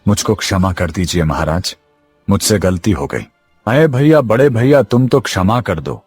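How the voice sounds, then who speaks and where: A man playing a greedy, excitable younger brother in a village cartoon story, talking in a hurried, agitated way. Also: on the low side, no echo, faint music behind. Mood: pleading. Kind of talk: Hindi cartoon drama acting.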